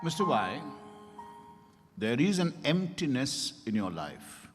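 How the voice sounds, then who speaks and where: An actor playing an insistent, smoothly persuasive male doctor and counsel in a formal, theatrical stage reading. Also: mid-range voice, very animated, slow pace, soft background music. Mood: probing, persuasive. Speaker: stage reader in a dramatized reading. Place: event hall at a hotel, live stage reading. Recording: echoey hall with long reverb.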